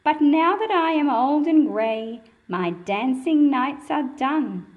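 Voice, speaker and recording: Lady doing a kids read aloud, really slow n patient, kinda high voice, explains stuff like a teacher. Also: expressive, phone-quality sound. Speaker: presenter reading a children's picture book aloud. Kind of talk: read-aloud storytelling with simple explanations for young children.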